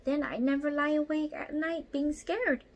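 A woman voicing a gentle, earnest, anxious female orangutan in a children's storybook. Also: high voice, steady tone, moderate pace, highs cut off, voice pushed up. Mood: self-doubting.

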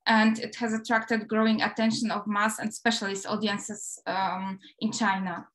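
A woman's voice: mid-range voice, flat delivery, moderate pace, fairly bright tone. A woman with a Polish accent giving an academic lecture over a video call, hesitant, with light laughs as she talks. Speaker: guest lecturer.

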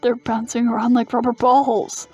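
A woman's voice: on the high side, level tone, moderate pace, a little mellow, husky, soft background music. A woman voicing a stuffy, bemused middle-aged male commander in a fantasy game.

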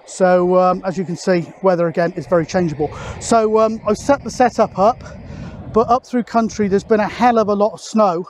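A man's voice: high voice, normal speed, a little mellow, slight background noise. A British man in his forties chatting casually to camera as a vlogger, recorded outdoors on an open hilltop. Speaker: vlogger, CB radio hobbyist. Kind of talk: casual outdoor vlog talk.

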